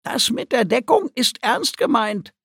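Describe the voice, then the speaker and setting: A man voicing a small, cheeky, bossy mousebeaver creature in a brisk, emphatic, encouraging but stern manner for an audiobook. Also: extremely high pitch, sing-song, creaky, fairly bright tone, husky, no echo, studio quality, voice pushed up, full character voice. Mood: stern encouragement.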